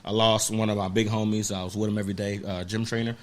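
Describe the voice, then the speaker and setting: Young adult male guest from Maryland, low-pitched, easygoing and chuckly, casual interview talk. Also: flat delivery, fast. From Maryland, USA. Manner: laid-back, laughs often, loose conversational phrasing. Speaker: musician guest.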